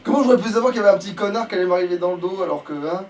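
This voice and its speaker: A man's voice: sits high, racing, a little mellow. A man doing casual let's play commentary, grumbling and swearing as he plays. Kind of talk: casual gaming commentary while playing. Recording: distant mic, faint background noise.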